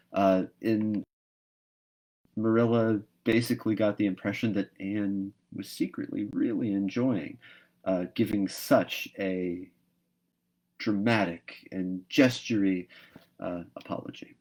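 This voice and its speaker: A man's voice: on the low side, darkish tone, rough recording. A man hosting a live read-aloud, moving between casual talk and storybook narration. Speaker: host reading a book aloud.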